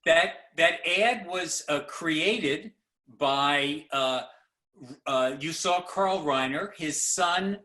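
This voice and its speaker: A man moderating a panel over a video call, speaking haltingly with many fillers and restarts. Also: fairly high pitch, sharp tone.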